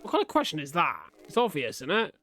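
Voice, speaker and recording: A man's voice: a little high, huge pitch swings, fast, pushed and bright. A man giving casual, questioning reaction commentary as a video host, in colloquial speech.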